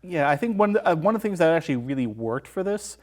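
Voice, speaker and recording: A man's voice: on the high side, sing-song, quick pace, a little mellow. A man as a podcast guest in a casual film discussion, explaining things informally.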